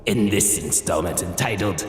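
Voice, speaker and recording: A man playing an ominous, grandiose horror show host, with a dramatic, florid and drawn-out delivery. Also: low-pitched, loud music behind the voice, close to an everyday voice. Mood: ominous, theatrical. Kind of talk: theatrical horror anthology introduction. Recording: cavernous long reverb, faint noise.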